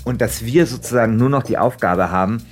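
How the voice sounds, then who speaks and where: A man's voice: medium pitch, brisk. A man chats casually as a podcast co-host, often groping for words. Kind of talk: casual chat. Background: music.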